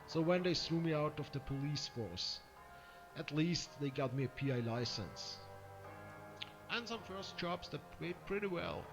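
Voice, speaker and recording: An actor with an Austrian accent playing a weary, sardonic ex-cop turned private eye in a game intro, with music behind. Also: male voice, a little high, big pitch swings, measured pace. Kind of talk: hard-boiled game intro narration.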